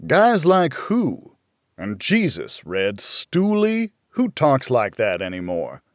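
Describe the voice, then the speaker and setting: A man's voice: mid-range voice, huge pitch swings, slow, dry recording, clean studio sound. A man voicing a blunt, needling, worried older friend in a noir audiobook. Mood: incredulous.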